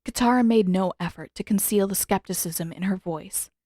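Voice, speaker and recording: A woman's voice: mid-range voice, moderate pace, mellow, no echo, clean studio sound. A woman reading scripted fiction aloud as the narrator of an audiobook.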